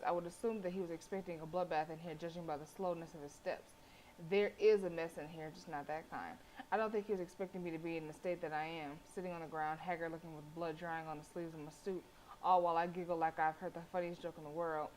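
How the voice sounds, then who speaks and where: A woman's voice: lowish voice, steady tone, fast talker, low-quality recording. A sassy, colloquial woman reading and reacting aloud as she streams a game. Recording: faint background noise.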